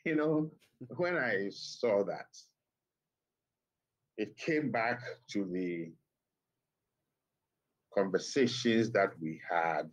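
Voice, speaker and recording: A middle-aged man with a Ghanaian accent, a guest speaking by phone in a TV interview, measured and emphatic with pointed rhetorical questions. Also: on the low side, poor audio. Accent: Ghanaian English. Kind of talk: TV interview commentary. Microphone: phone line.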